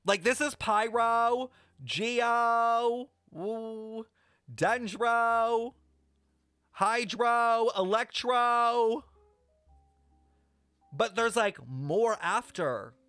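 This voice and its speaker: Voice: medium pitch, clean tone, clean studio sound. A brash, sweary livestreamer chatting casually and rambling through an explanation, playfully scolding viewers with theatrical emphasis. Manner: animated, theatrical emphasis with drawn-out words. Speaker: streamer reacting to a video game story. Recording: roomy echo, faint music behind.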